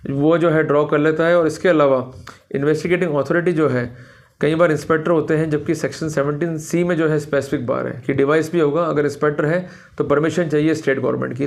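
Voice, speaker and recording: An Indian man giving an explanatory legal lecture in a didactic manner, slowing down deliberately for quoted passages. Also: on the high side, creaky. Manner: didactic, repeats filler phrases, slows down for English quotations. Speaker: law lecturer. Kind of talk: explanatory legal lecture to camera, Hindi mixed with English.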